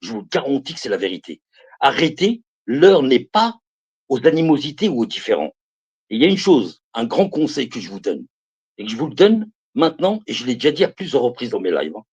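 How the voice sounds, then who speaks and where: Husky man in his fifties preaching. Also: mid-range voice, sing-song, a little fast, a little mellow, muffled recording. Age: 50s. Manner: emphatic, repeats phrases, calls listeners brothers and sisters. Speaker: live streamer giving religious advice. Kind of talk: preaching to viewers on a live stream.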